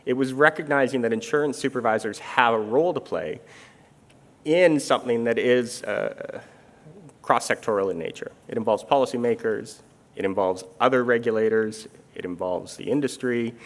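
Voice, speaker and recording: A middle-aged man from Canada speaking in a measured, explanatory way, with many fillers, in an onstage armchair conversation. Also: medium pitch. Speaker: international insurance supervision official. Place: conference stage. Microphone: handheld or stage microphone.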